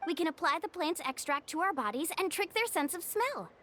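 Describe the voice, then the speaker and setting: High, lively, spirited young game heroine. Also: female voice, fairly quick, slight background noise, heavily acted. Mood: upbeat, confident. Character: spirited, friendly young woman guide of a game quest. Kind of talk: video game voice acting.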